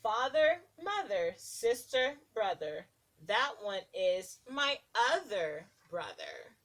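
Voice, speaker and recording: Very slow, animated woman reading to children. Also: medium pitch. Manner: sing-song, deliberate, expressive enunciation of simple rhymes. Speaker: preschool teacher reading to children. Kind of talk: children's picture-book read-aloud.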